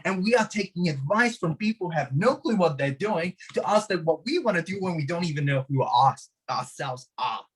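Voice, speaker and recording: Husky Hungarian-accented man talking with passion. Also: a little high, lively intonation, a little fast, hardly any pauses, low-quality recording. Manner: passionate, swears for emphasis, repeats his motto. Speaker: podcast guest. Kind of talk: motivational interview talk.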